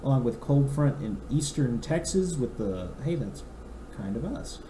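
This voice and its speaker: A young man with a raspy throat, a podcast co-host chatting casually and reading a weather forecast aloud with asides. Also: medium pitch, expressive, normal speed. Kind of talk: casual chat. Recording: echoey room, faint noise.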